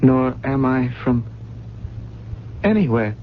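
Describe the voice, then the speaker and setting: An actor playing a lost, bewildered gentle man in a radio drama, halting and formal, on a dull old recording. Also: medium pitch, animated, slight background noise. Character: lost, bewildered, gentle well-dressed man without memory. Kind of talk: 1970s radio drama acting.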